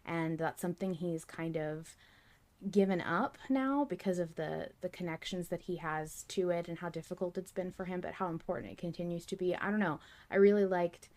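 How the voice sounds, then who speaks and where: A woman hosting a fan discussion podcast in a casual, thoughtful, measured way. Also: on the low side, darkish tone. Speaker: podcast host.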